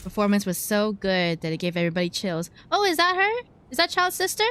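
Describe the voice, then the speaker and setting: A woman's voice: medium pitch, expressive, a little fast, a bit bright, clear, resonant tone. A woman livestreamer giving casual, playful, gushy reactions while playing a game.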